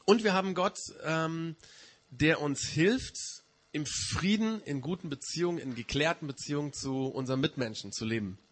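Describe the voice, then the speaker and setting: Male preacher, fairly high husky voice, slight cold, informal explanatory delivery, repeats key points. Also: moderate pace. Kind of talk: informal sermon to a church community.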